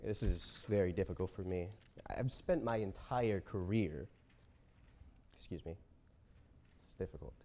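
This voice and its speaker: A man in a staged reading playing an embattled company boss who feigns wounded dignity, speaking haltingly and solemnly. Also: low-pitched, dark tone. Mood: strained, wounded. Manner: halting, self-pitying, solemn denials. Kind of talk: staged play reading of a TV interview.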